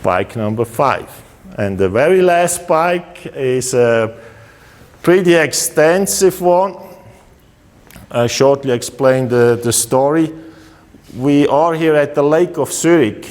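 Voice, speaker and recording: A man with a Swiss German accent talks slowly through a casual walkaround of his custom motorcycles. He is relaxed and halting, with many filler sounds, at a mid pitch. The voice carries a long reverb, as in a large hall.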